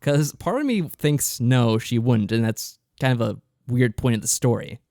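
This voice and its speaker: A man's voice: medium pitch, expressive, normal speed, darkish tone, dry recording. Mild man, dry close sound. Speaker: podcast co-host. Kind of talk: casual game discussion.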